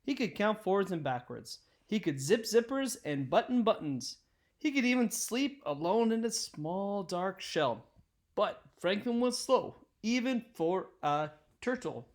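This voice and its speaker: A man reading a children's picture book aloud in an expressive, deliberate storytelling style. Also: sits high.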